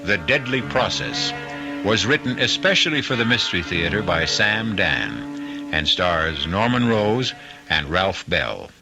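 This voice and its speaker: Deep-voiced dramatic male radio host. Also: very animated, gravelly, loud music behind the voice. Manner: dramatic, deliberate phrasing. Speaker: host and narrator. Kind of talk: scripted narration, old-time radio mystery.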